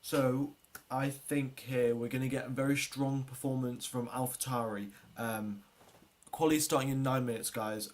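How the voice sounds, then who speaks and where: A man hosting a livestream, chatting casually with viewers and previewing a race in a rambling way, repeating words and often trailing off. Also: medium pitch. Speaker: livestream host.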